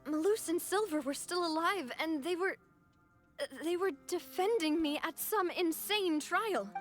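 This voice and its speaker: A woman voicing an earnest young heroine in a video game, soft-hearted and hesitant. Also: extremely high pitch, a little slow, soft background music. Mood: dazed, sorrowful.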